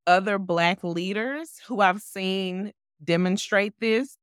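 A woman co-hosting a podcast who talks loudly with a bassy voice, measured and assured. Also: clear, resonant tone, no echo, clean studio sound. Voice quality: loud with a bassy voice. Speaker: podcast co-host. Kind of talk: casual conversation.

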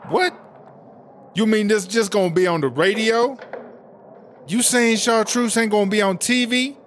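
A man's voice: sits high, unhurried, slight background noise. Sassy, swaggering female inmate. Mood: indignant. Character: brash, vain, bossy female prison inmate landlady. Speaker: interviewed inmate character. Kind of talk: comedic audio drama, slangy conversational acting.

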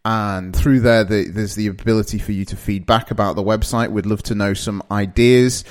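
A man in his thirties with a northern English accent talks through the opening of his own podcast. His voice sits a little low, and he speaks in a relaxed, conversational way, stopping often for fillers and restarting his sentences. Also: moderate pace. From northeast England. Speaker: podcast host. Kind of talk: solo podcast introduction.

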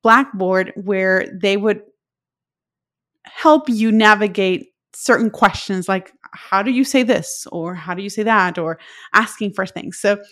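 A woman's voice: medium pitch, measured pace, fairly bright tone. Puerto Rican woman hosting a podcast. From Puerto Rico. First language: Spanish. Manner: reflective, storytelling with personal anecdotes. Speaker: podcast host. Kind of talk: solo podcast monologue.

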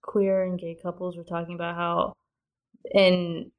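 A woman's voice: lowish voice, flat delivery, a little slow. A woman chatting casually as a guest on a podcast. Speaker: podcast guest, fiction podcast creator. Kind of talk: casual chat.

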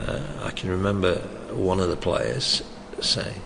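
Very deep, gravelly older man. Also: unhurried. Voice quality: loud voice. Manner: hesitant, with many restarts and chuckles. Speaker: interviewee, former soccer coach. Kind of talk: reflective oral history interview. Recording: long reverb, faint background noise.

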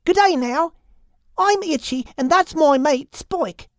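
A woman's voice: high-pitched, takes it slowly, no echo, clean studio sound, pitched above the performer's own voice. A woman voicing a chirpy female bearded dragon from the outback, with an Australian accent and a friendly, slangy, breezy way of talking.

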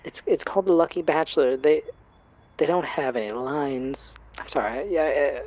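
A woman's voice: low voice, normal speed, darkish tone. Proud, chatty mother, played by a woman, middle-aged, trusting, hesitant and rambling; audio drama, slightly dull sound. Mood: fond, rambling.

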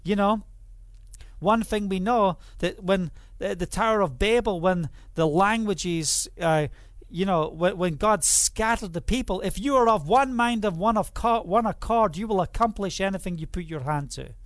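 A man's voice: high-pitched, moderate pace, no room sound, studio quality. A middle-aged man with a Scottish accent preaching a sermon, reading scripture aloud and putting questions to the audience before answering them himself. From Scotland. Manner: reads scripture aloud then explains it.

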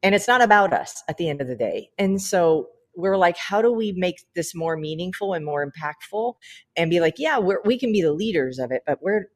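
A woman, a podcast guest, speaks earnestly and quickly about her business, restarting her sentences as she goes. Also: on the low side, lively intonation. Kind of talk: casual interview chat.

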